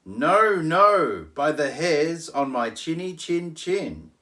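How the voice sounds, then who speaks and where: A little storybook pig, high and squealing. He pleads and exclaims in an anxious, very lively way. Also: takes it slowly, exaggerated voice. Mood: defiant. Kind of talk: storybook character reading.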